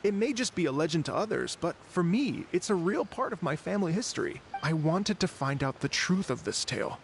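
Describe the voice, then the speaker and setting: A man's voice: sits high, expressive, a little fast, a little hiss or hum. An actor playing an earnest, wistful middle-aged traveler in a video game, telling his story theatrically and politely. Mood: storytelling, earnest.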